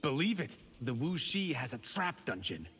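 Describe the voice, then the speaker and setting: Cocky, animated young warrior. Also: male voice, medium pitch, normal speed, breathy edge. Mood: cocky, friendly. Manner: brash, animated. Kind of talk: video game character acting. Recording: long hall reverb, faint noise.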